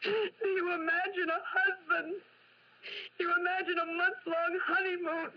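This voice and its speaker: A woman's voice: very high voice, level tone, a little slow. A woman playing a distressed, insistent bride whom nobody believes, overwrought in vintage radio drama style. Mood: upset, insistent. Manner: emphatic, overwrought. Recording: dull, hissy vintage radio recording.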